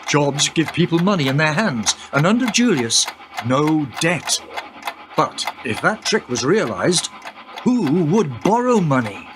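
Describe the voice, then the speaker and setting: A man playing a knowing guide who explains history to a young woman in a radio play, in an explanatory, unhurried way. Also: on the high side, sing-song, noisy background. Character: wise older male guide through history, knowing. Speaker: narrator-guide.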